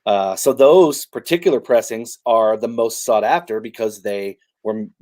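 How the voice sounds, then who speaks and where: A man talking casually as a guest collector, halting and restarting, friendly and agreeable. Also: on the low side, warm tone. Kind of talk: casual chat about vinyl records.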